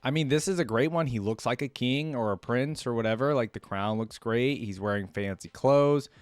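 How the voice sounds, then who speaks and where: A man's voice: medium pitch, moderate pace, dry recording, studio quality. A man giving casual reaction commentary as a video host, rambling and thinking aloud.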